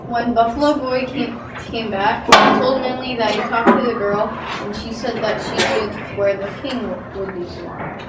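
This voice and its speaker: A female amateur narrator reads a stage story in a high voice. She is far from the microphone in an echoey room with loud noise. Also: fairly even intonation, normal speed, hardly any pauses. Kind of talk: amateur readers theatre narration.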